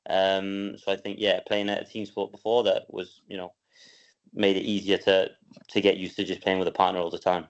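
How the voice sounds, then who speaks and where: A young man from Wales answering interview questions in a relaxed, hesitant way, with many ums and repeated words. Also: low-pitched, normal speed. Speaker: guest, professional padel player. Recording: dull lo-fi sound.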